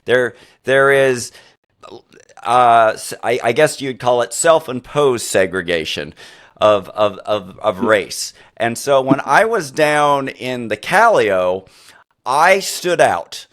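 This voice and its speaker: A middle-aged man hosting a podcast interview, a former teacher who tells rambling, animated stories full of restarts. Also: mid-range voice, takes it slowly. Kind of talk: conversational interview.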